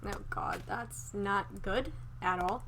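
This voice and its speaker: A woman's voice: medium pitch, takes it slowly, fairly bright tone. A woman playing a wary medical assistant in an improvised zombie roleplay game, casual, hesitant and a little sardonic. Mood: worried.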